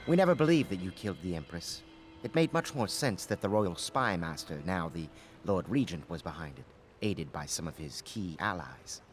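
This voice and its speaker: Deep, resolute conspirator. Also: male voice, expressive, moderate pace, slight background noise. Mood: solemn. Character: serious male conspirator, resolute and earnest. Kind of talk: video game character acting.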